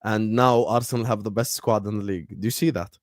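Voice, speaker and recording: A man's voice: lowish voice, fairly even intonation, fast, dry recording, studio quality. A man hosting a casual football chat, full of teasing banter and frequent laughs. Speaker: podcast host.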